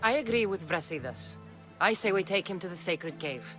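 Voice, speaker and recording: A woman's voice: fairly low pitch, lively intonation, moderate pace, over music, close to an everyday voice. An actress playing a tough, cool-headed young mercenary warrior woman in a video game, speaking in a blunt, terse, dry way. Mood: firm, businesslike. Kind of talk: dramatic video game acting.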